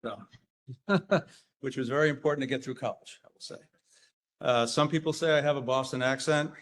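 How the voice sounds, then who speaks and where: A man from Rhode Island speaks to a conference hall audience at an everyday middle pitch. He keeps it chatty and self-deprecating, tossing questions out to the room. His talk is full of "um"s and restarts. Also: fairly even intonation, moderate pace. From Rhode Island, USA. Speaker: engineer presenting at a conference. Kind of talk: conference presentation with audience banter.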